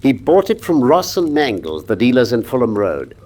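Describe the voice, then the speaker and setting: A man acting in a British radio drama as a confident, incisive gentleman detective, with a British accent and precise, theatrical delivery. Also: medium pitch, big pitch swings, moderate pace. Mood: assured, explanatory. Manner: precise, theatrical, self-assured.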